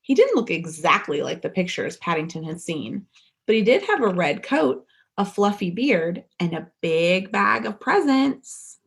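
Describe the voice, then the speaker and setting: A woman's voice: mid-range voice, very animated, measured pace, vocal fry. A woman reading a children's storybook aloud like a pastor at story time, dramatic and speaking directly to young listeners. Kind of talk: expressive children's storybook narration.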